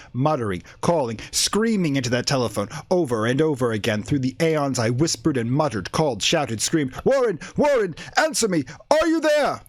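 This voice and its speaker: A man's voice: mid-range voice, no room sound. A man playing a haunted, horror-stricken man recounting his friend's doom in a tense, florid radio play narration. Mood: grim, tense, then desperate calling.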